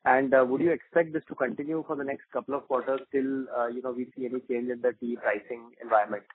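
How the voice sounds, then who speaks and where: Husky man on a tinny phone line. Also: medium pitch, little pitch movement, quick pace. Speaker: financial analyst caller. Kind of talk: questioning on an earnings call.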